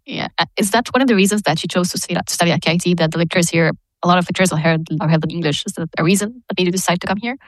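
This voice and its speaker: A woman's voice: on the low side, rapid-fire, few pauses, no echo, rough recording. A woman interviewing a student, asking friendly questions and checking in with "right?". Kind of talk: casual interview.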